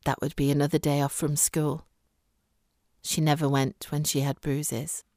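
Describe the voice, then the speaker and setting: A woman's voice: deep voice, flat delivery, a little slow, mellow, dry recording, clean studio sound. A woman reading a literary short story aloud as scripted narration. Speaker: story reader.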